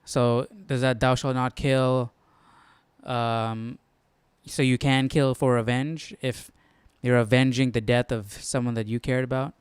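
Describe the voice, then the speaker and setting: Male Bible study host, smooth clear voice, dry close sound, informal and searching delivery. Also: mid-range voice, little pitch movement, a little slow, no room sound. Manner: tentative, often checks with "right?". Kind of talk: casual explanatory commentary, thinking aloud.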